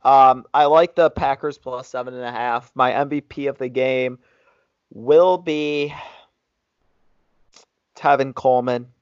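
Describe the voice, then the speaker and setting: A man's voice: mid-range voice, fairly even intonation, unhurried, long pauses, no echo. A man co-hosting a podcast, chatting casually and making sports predictions. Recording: dry, muffled lo-fi podcast audio.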